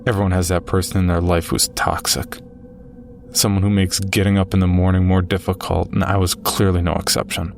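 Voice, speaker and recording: A man playing a narrator who recounts a frightening encounter with a monster in measured, grave, scripted first-person storytelling. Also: low-pitched, level tone, vocal fry, a little hiss or hum. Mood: grave, uneasy. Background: music.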